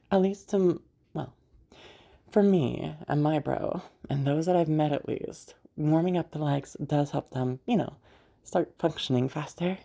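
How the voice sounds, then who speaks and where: A young woman acting in an audio roleplay as a caring, teasing party host, talking in a rambling, hesitant, softly reassuring way. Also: low-pitched, a little slow, creaky. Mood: attentive, soothing. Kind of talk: audio roleplay, naturalistic one-sided dialogue.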